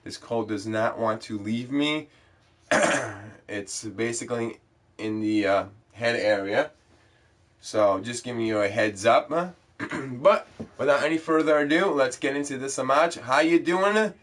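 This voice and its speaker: A man's voice: mid-range voice, measured pace, a bit bright. A young man, congested from a cold, chatting loosely and rambling through an intro to his game stream. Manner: chatty, loose, many fillers. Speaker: gaming vlogger.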